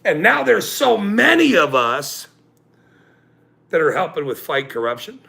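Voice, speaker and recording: A man in his forties hosting a livestream, ranting angrily with profanity and loud outbursts, then joking about himself. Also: fairly high pitch, sing-song, measured pace, vocal fry. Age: 40s. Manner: angry, profane, with loud outbursts and self-mocking laughs. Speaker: channel host. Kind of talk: livestream rant.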